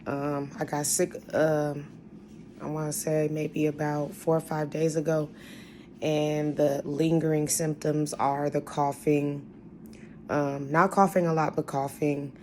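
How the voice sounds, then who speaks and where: A woman with a voice hoarse from a cold, chatting casually to her viewers and telling a long personal story. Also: low-pitched, flat delivery, slow, dark tone, a little hiss or hum. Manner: chatty, rambling, addresses viewers as y'all. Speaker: vlogger.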